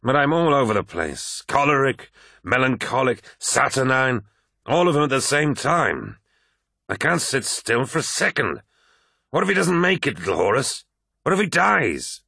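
A huge warrior captain, as a male narrator plays him, seething and anguished. His voice pushes up well above a narrator's pitch, growling and agitated, in a dry sci-fi audiobook recording. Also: big pitch swings, moderate pace, creaky, sharp tone, breathy edge, dry recording, heavily acted. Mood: anguished, restless. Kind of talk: dramatic science-fiction audiobook dialogue.

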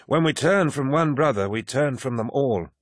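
A man voicing an old male veteran warrior who rambles and lectures in a folksy, gently patronizing way, with a husky voice. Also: mid-range voice, animated, moderate pace, no room sound, studio quality, voice pushed up, natural voice. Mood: folksy lecturing. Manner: folksy, lecturing, calls listener boy.

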